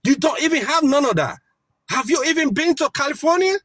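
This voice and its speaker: A man's voice: extremely high pitch, expressive, fairly quick, a bit bright. A man from Africa arguing on a video call from outdoors, lecturing and talking over others. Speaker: debate panelist. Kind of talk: heated online debate.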